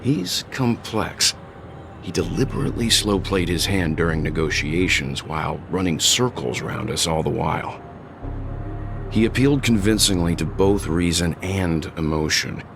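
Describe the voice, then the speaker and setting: Stoic strategist for a game: very deep, measured, calm and wary, music underneath. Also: male voice, gravelly. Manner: measured, serious, deliberate. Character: calm, guarded, analytical man, seasoned crew member. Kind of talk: video game voice acting.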